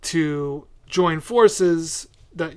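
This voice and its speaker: A man's voice: fairly high pitch, drawn-out words, clear, resonant tone. A man hosting a podcast, chatting casually with a guest in an interview style. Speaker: podcast host.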